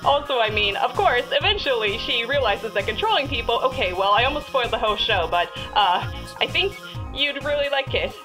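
A woman's voice: on the high side, lively intonation, a little fast, over music, natural voice, low-quality recording. A woman voicing a shy, nerdy lizard monster scientist from a game, stammering, hesitant and gushing. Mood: flustered, gushing.

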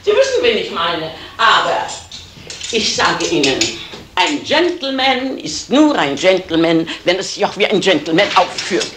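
An actress playing an energetic, indignant, scolding older woman in a 1970s radio crime drama, haughty and sarcastic. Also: on the low side, a little slow. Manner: haughty, sharp-tongued, sarcastic. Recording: roomy vintage radio recording, faint hiss.

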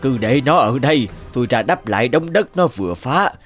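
A man's voice: medium pitch, expressive, fairly quick, lightly acted. A man playing a stern old farmer. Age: older. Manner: stern, scolding. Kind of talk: cartoon voice acting. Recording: faint music behind.

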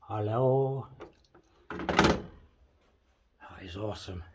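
Male urban explorer, unhurried, hushed and excited, handheld camera with clear background noise. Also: on the low side. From England. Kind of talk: exploring vlog. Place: dark abandoned building, indoors. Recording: noisy handheld camera audio.

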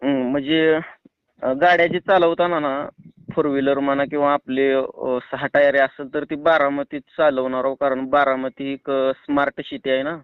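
A man's voice: medium pitch, little pitch movement, moderate pace, clean tone, no echo. A man with a rural Maharashtrian Marathi accent chatting casually on the phone, persuasive and reassuring. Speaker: prank caller. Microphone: phone line. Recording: tinny phone call recording.

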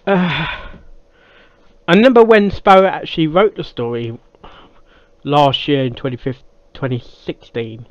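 A man giving casual reaction commentary as a video host, in a halting way that repeats phrases. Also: a little high. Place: small cluttered room indoors. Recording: slightly dull, dry sound.

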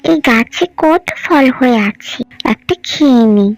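A woman's voice: high voice, expressive, measured pace, low-quality recording. A woman voicing a small, cheerful female butterfly in a cartoon, chirpy and wondering.

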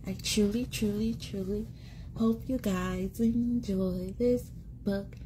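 A woman in her thirties reading a picture book aloud to young children in an expressive, sing-song, encouraging way, repeating words for them. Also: medium pitch, takes it slowly, slight background noise, poor audio. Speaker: children's read-aloud host and teacher. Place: small indoor room at home. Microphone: phone or tablet at arm's length.

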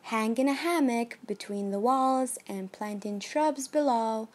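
A woman giving scripted narration of a numbered list in a measured, even read-aloud delivery. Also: on the high side.

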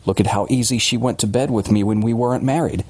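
A man's voice: mid-range voice, quick pace, darkish tone, no echo, voice pushed up, lightly acted. A man playing an agitated, defensive working-class father confessing a horror in blunt, colloquial words, as in an audiobook. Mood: haunted, anguished.

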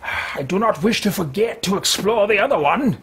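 A man's voice: sits high, animated, normal speed, a bit bright, husky, pitched above the performer's own voice, heavily acted. A man in his thirties roleplaying a formal, meticulous, irritable wizard adventurer in a video game, with stilted theatrical speech. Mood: musing, deliberate. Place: small room at home with shelves.

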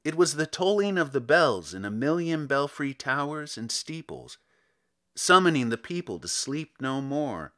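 A man narrates a literary story in a solemn, theatrical manner. His voice sits a little high for a man and moves widely in pitch. He takes his time, weighting his phrases with deliberate emphasis. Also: a bit bright. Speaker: audiobook reader.

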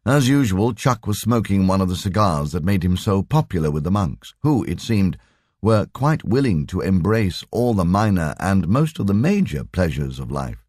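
A man reading a short story as an audiobook narrator, with dramatic, expressive delivery. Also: low-pitched, moderate pace, no room sound, clean studio sound.

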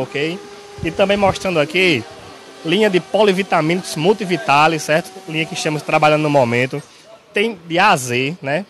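Young northeast brazilian guy pitching deals in a pharmacy, slightly high voice, keeps saying "right?". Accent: Northeast Brazilian Portuguese. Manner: salesy, steady, tags lines with "certo?". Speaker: pharmacy staff member. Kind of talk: on-air sales pitch. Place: large bright pharmacy, indoors. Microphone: handheld station mic held by interviewer.

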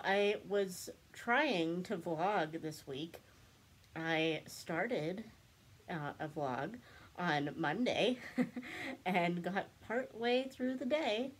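Slow-talking young woman with a stuffy cold. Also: fairly low pitch, breathy edge. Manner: chatty, rambling, laughs often. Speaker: book and craft vlogger. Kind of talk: casual chat to camera. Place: small room indoors, seated before a cabinet.

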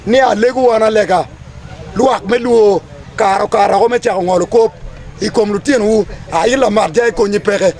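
A man's voice: high-pitched, fairly quick, darkish tone, low-quality recording. A man in his thirties with a Ugandan accent, giving an impassioned, declamatory address as a community leader. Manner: emphatic, declamatory, repetitive phrasing. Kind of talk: impassioned public address to camera. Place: outdoors under trees among a gathering.

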